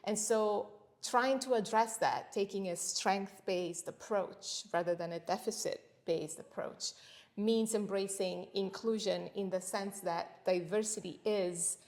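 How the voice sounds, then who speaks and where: A middle-aged woman from Italy whose first language is Italian, speaking as a senior lecturer in an academic interview, measured and thoughtful, with frequent pauses. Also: medium pitch, darkish tone.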